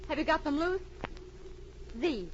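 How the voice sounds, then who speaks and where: An anxious radio-adventure heroine, urgent and theatrical. Her very high voice swings widely in pitch, heard through a dull, hissy vintage recording. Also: big pitch swings, unhurried. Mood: anxious calling. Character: anxious, devoted female partner of an adventurer. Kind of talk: 1940s radio melodrama acting. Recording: muffled, noisy old radio recording.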